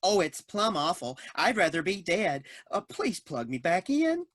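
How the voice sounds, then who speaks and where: Casting: earnest Canadian-accented cop stuck in a machine, raspy, swooping, exclamatory, dry close sound. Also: female voice, fairly low pitch, big pitch swings, unhurried, no echo. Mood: folksy, forlorn. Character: folksy trapped Canadian cop consciousness inside a robot box. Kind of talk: comedic audio drama acting.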